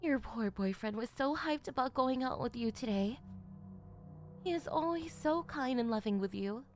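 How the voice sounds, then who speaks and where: A woman's voice: fairly high pitch, animated, normal speed, soft background music, close to an everyday voice. A woman voicing a teasing, doting middle-aged mother in an anime-style ASMR roleplay, with coy, playful endearments. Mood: scolding.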